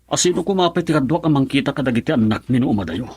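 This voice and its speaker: A man with an Ilocano accent and a husky voice playing a stubborn, wounded middle-aged farmer husband in a radio drama. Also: mid-range voice, animated, very fast, darkish tone. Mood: aggrieved.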